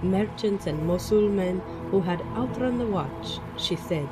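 A woman narrating an old tale in a steady storybook style. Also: mid-range voice, a little slow, noisy background. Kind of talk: scripted narration. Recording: music behind.

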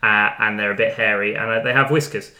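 Bright-voiced, hesitant man in an echoey room. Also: fairly low pitch, steady tone, brisk. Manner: hesitant, self-deprecating. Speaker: art challenge participant. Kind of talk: casual chat.

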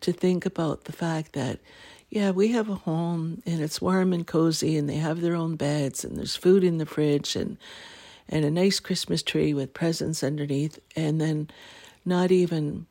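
A middle-aged woman from Canada, hosting a podcast and telling a family story in casual chat, clearing her throat as she loses her voice. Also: sits low, fairly even intonation, a little slow, mellow. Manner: laughing, self-deprecating jokes. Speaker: podcast host.

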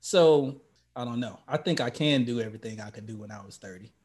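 50s guy just chatting, earnest, tells it like a story, average pitch. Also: brisk, a little mellow. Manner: earnest, storytelling, self-correcting. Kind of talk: casual chat.

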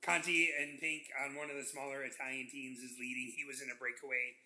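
Podcast co-host, man with a sharp bright nasal voice, joking, halting delivery. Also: mid-range voice, little pitch movement, rough recording. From Southeast United States. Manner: rambling, halting with restarts and joking asides. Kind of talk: casual sports chat.

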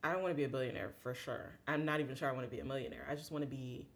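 A speaker in casual conversation who laughs often and teases with quick comebacks. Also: mid-range voice, vocal fry. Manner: giggly, teasing, quick comebacks. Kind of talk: casual chat.

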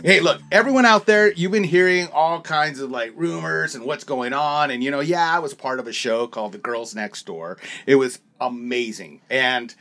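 A man hosting a podcast in a studio, excitable and upbeat, introducing guests with lots of fillers. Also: mid-range voice, normal speed, few pauses. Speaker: podcast host. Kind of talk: casual podcast chat.

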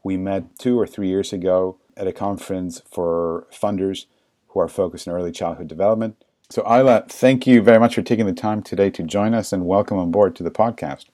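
Podcast host, man, low voice, upbeat and welcoming. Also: fairly quick, dark tone. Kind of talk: podcast interview.

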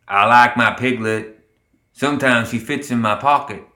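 A man's voice: mid-range voice, normal speed, bright, forceful tone, reverberant, lightly acted. A man voicing a vacant, simple-minded young male gremlin in a fantasy audiobook.

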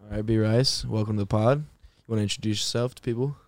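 Guy cohost, lowish voice, casual chat, dry sound. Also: fairly even intonation, fast, no room sound. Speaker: podcast co-host. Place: inside a truck.

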